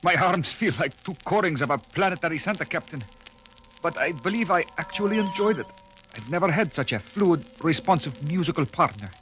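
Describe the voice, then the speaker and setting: An actor playing an earnest, sensitive starship lieutenant who speaks formally in a 1970s audio drama on a vintage record. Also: male voice, on the high side, expressive, brisk, a bit bright, faint music behind, poor audio. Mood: weary, content.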